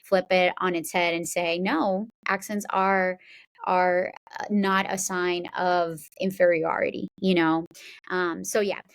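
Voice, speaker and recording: A woman's voice: fairly low pitch, little pitch movement, takes it slowly, slight background noise. A woman hosting a podcast, interviewing casually and affirmingly, feeling her way into each question. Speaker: podcast host.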